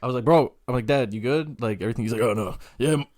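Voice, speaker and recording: Halting man in his twenties, dry sound. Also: medium pitch, darkish tone, no room sound. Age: 20s. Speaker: podcast guest, rapper. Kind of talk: casual chat and anecdotes.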